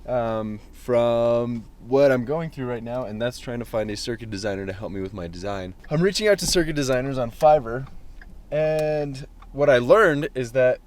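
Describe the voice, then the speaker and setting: A man's voice: mid-range voice, normal speed, darkish tone. A young man vlogging casually about his startup, jokey and rambling as he tells stories. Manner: upbeat, jokey, rambling storyteller. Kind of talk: casual vlog chat.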